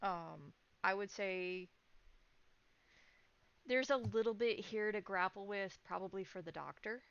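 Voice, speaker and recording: A woman's voice: mid-range voice, unhurried, vocal fry, a bit bright, smooth, dry recording, lo-fi sound. A woman narrating and making rulings as game master of a tabletop vampire game, in a conversational, descriptive and decisive way.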